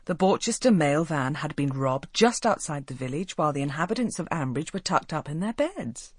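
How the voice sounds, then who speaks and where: A woman's voice: deep voice, big pitch swings, brisk, husky, dry recording. Woman narrating an audiobook, close and dry. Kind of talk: scripted story narration.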